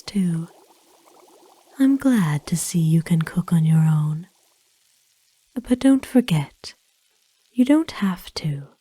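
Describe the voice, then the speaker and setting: Casting: woman as ancient vampire noblewoman for ASMR roleplay, very dark soft tone, breathy whisper, kind and formal, unhurried. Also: on the low side, dry recording, full character voice. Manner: formal, slow, tender, hesitant pauses. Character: kind, courtly centuries-old female vampire owner, gently doting. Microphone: close dry microphone.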